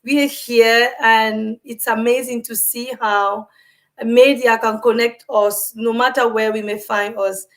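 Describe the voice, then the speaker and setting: A woman from Cameroon, a guest on a video call, talking about her work in an earnest, warm way with some hesitant fillers. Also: a little high, fairly even intonation, a little slow. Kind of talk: interview answers.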